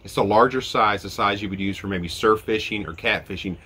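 A man's voice: lowish voice, brisk, bright, forceful tone, slightly rough. A man in his forties, stuffy and nasal from a head cold, reviewing fishing gear in a chatty step-by-step way, recorded close on an outdoor deck. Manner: chatty, explains step by step with fillers. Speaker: fishing channel host. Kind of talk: casual product review.

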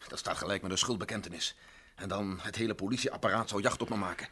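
A husky, stubborn general. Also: male voice, fairly low pitch, bright, forceful tone. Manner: firm, measured, commanding. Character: stubborn disgraced general under house arrest. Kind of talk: 1960s radio play acting.

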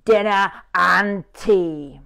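A woman voicing a greedy, grumbling male troll in a children's story, with booming, drawn-out threats. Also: creaky, bright, forceful tone, smooth, exaggerated voice.

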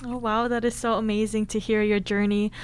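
Clear-voiced woman hosting a radio interview. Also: medium pitch, little pitch movement, brisk. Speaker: radio show host. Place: radio studio.

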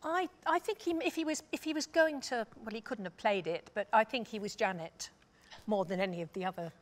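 A woman in her sixties with a British accent talks as a drama scholar on a panel. She is reflective and measured, with a wry edge, and sits at a medium pitch. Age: 60s. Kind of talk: thoughtful panel discussion. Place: stage panel before dark curtains, indoors.

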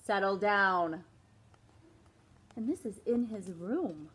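Slow-reading woman teacher, faint room hiss. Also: medium pitch, a bit bright. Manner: slow, emphatic scolding-parent voice for book lines. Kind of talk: picture book read-aloud. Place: indoors, small room before a plain wall.

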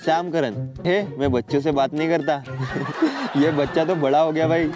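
A man's voice: fairly high pitch, steady tone, moderate pace, lo-fi sound, music in the background. A man from India doing comic commentary on memes, often laughing as he reads captions aloud. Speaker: meme reaction host.

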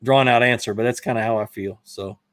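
A man's voice: mid-range voice, steady tone, a little fast, a bit bright. A man in his thirties with a Southern US accent, hosting a fishing live stream and casually answering viewer questions in a rambling, self-correcting way. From Georgia, US. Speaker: fishing channel host. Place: indoor studio room, brick wall. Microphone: desk mic in front.

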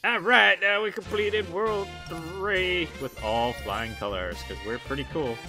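Hoarse young male let's player, animated and silly, game music in the mix. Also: high-pitched, a little slow. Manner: goofy, excitable, lots of ums and likes. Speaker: let's play gamer. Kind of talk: casual gameplay commentary.

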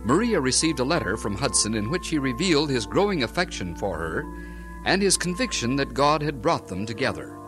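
Narrator, male: mid-pitched, lively intonation, scripted storytelling for audio drama, music underneath. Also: a little fast.

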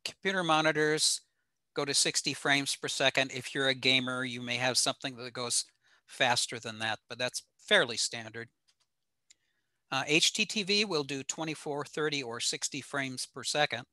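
An older American man giving an informal slide lecture in an explanatory, halting way with frequent pauses. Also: medium pitch, pushed and bright, dry recording. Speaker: presenter, ham radio operator. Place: small home room indoors.

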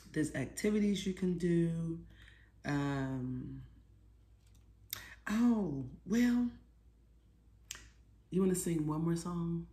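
Low-pitched American woman, slow and encouraging. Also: warm tone. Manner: encouraging, asking the listeners questions. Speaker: author and children's storytime presenter. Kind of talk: casual storytime talk to children. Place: small living room at home, indoors. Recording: echoey room with clear background noise.

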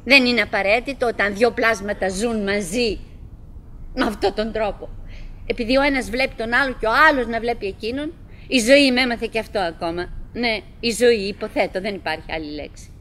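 An actress playing a chatty, wistful middle-aged wife in a theatrical radio drama, musing and rambling with dramatic pauses. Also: female voice, on the high side, a bit bright, natural voice. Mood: musing, subdued. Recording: noisy old radio drama recording.

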